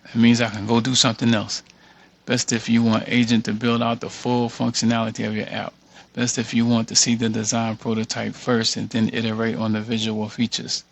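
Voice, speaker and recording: A man's voice: medium pitch, monotone, a little fast, vocal fry, dull audio. A man giving an informal screen-share walkthrough, thinking aloud and muttering as he reads text off the screen. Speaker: tutorial creator.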